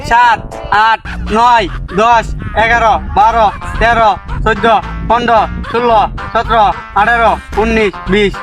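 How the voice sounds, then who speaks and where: A young man with a rural Bangladeshi dialect, chatting casually in a playful game and repeating his phrases excitedly. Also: very high-pitched, unhurried. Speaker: player in a hide-and-seek skit. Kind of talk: casual improvised play chat. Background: loud music.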